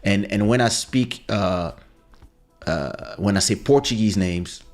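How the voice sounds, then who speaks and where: A man hosts a relaxed podcast chat in a low-pitched voice. He often repeats words as he restarts his sentences, and he reads viewer comments aloud. Faint music runs underneath. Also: slow. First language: French. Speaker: podcast host. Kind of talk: casual interview chat.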